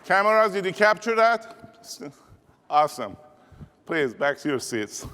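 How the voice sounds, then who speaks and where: Man with a Persian accent, motivational keynote speaker, rallying a crowd, fairly high voice, echoing hall. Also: big pitch swings, measured pace, bright, forceful tone, a little hiss or hum. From Iran. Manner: rallying, call-and-response with audience. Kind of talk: energetic keynote speech. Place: large conference hall. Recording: reverberant hall.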